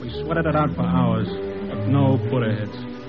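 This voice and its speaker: A man's voice: medium pitch, normal speed, lightly acted. A man acting a plain-spoken army lieutenant from Texas, narrating his war story in a 1950s radio drama. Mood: grim, reflective. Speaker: narrator and lead actor. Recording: dull old radio recording with music.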